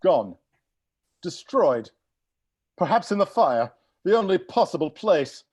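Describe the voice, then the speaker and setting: An actor voicing an excitable butler who explains a murder mystery in a theatrical, emphatic way during a comedy table read. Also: mid-range voice, slow, slightly rough, heavily acted. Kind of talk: live table read of a comedy film script.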